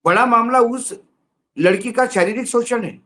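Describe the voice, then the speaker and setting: A man's voice: very high-pitched, normal speed, bright, forceful tone. A man giving opinionated news commentary in an indignant, emphatic way, driving points home with rhetorical questions. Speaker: political commentator on news show.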